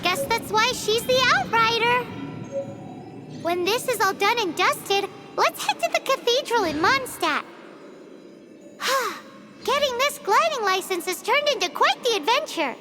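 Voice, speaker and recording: A chirpy little floating game companion, squeaky and sing-song. She swings up and down in pitch and refers to herself in the third person. Loud game music plays underneath. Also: animated, unhurried, pauses often. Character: small cheeky floating girl companion, excitable. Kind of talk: game voice acting.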